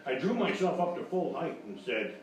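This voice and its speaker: An actor playing a boastful, bumbling private eye, narrating in blustering deadpan in a parody of old radio detective drama. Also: male voice, a little high, moderate pace. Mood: tough, self-important. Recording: very echoey, distant, noisy live stage recording.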